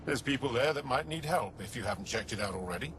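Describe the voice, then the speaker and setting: An actor playing a matter-of-fact alien lookout soldier in a video game, with a blunt, dry manner. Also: male voice, mid-range voice, lively intonation, fast talker, lightly acted. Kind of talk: dramatic game dialogue. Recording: clear noisy outdoor ambience behind.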